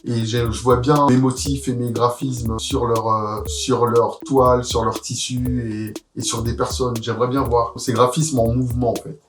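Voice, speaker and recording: A man's voice: medium pitch, flat delivery, normal speed, hardly any pauses, echoey room, over music. A man in his forties from Paris, France, answering casual interview questions about his own creative work. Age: 40s. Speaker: interviewee, musician and graphic artist.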